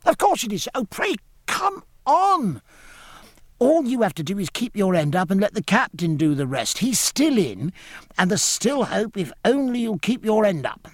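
A man voicing an eager, excitable young boy who pleads urgently and deferentially in an audiobook. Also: sits high, moderate pace, dry recording, clean studio sound, voice pushed up. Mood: impatient, pleading. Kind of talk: audiobook character dialogue.

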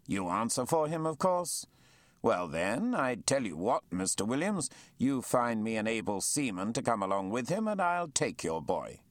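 Narrator guy doing a hearty brisk sea captain, bouncy pitch, dry sound. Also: very animated, no echo, lightly acted. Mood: genial. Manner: brisk, genial, commanding. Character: hearty, confident male naval captain.

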